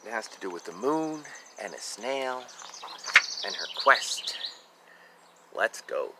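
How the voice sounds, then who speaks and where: Animated man reading a children's storybook. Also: fairly low pitch, slow pace, very noisy. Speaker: reader of a children's picture book. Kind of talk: read-aloud storytelling with casual asides about the pictures.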